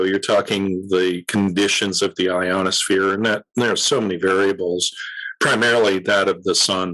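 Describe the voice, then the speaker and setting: A man speaking as an interview guest, explaining radio in a conversational, measured way and repeating phrases for emphasis. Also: lowish voice, fairly bright tone, rough recording.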